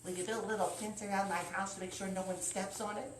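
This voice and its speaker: A woman's voice: medium pitch, little pitch movement, fast, pitched above the performer's own voice, natural voice. A woman voicing a small, cheeky male leprechaun in a storybook tale, wily and bargaining in manner. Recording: roomy, distant mic with faint noise.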